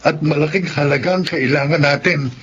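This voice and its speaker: A man's voice: a little high, brisk, a bit bright, highs cut off, slight background noise, lightly acted. An actor playing a troubled young husband and father in a radio drama, speaking in a grave, subdued way.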